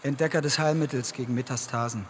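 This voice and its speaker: A man's voice: medium pitch, fairly even intonation, fast, noisy background, close to an everyday voice. An actor in a radio play playing a cool man of thirty-three who answers in a clipped, matter-of-fact way.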